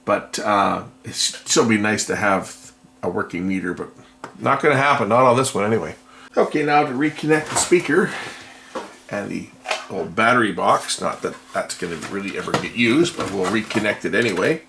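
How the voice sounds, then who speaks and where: A man giving casual hands-on repair commentary, thinking aloud in a tentative, unhurried way. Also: lowish voice, expressive, gravelly. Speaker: repair vlogger. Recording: noticeable background noise.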